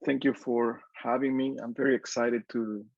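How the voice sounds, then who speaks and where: A man's voice: medium pitch, flat delivery, moderate pace, darkish tone, no room sound, muffled recording. A man in his forties from Peru with a Peruvian Spanish accent, speaking Spanish as his first language, giving an earnest, reflective panel talk with many fillers and restarts. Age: 40s.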